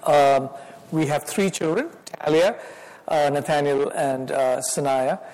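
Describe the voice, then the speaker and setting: A man's voice: fairly high pitch, a little mellow. Indian-accented man speaking in a hall. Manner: halting, modest, with many fillers. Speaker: guest speaker. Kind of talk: church talk. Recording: hall reverb.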